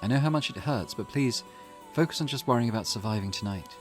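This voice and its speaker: Casting: male reader as sensible, protective teen schoolgirl in a visual novel, earnest, measured, expressive pitch, music underneath. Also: close to an everyday voice. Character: level-headed, cautious teenage schoolgirl, earnest and protective. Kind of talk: character voice reading a visual novel. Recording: music in the background.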